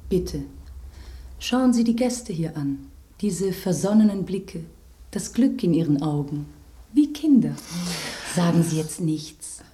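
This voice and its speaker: An actress playing a refined, sentimental rose in a German radio drama, with an affected, flowery manner. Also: female voice, fairly low pitch, lively intonation, slow, mellow, slight background noise. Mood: dreamy.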